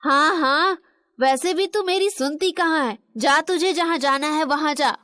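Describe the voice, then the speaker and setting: A woman performing an elderly Indian mother-in-law for a kids' cartoon story. The voice is very high-pitched and recorded dry. She comes across as stern, motherly and given to sarcastic scolding. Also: a little slow, pushed and bright, no echo. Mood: annoyed, sarcastic. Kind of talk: animated moral story dialogue in Hindi.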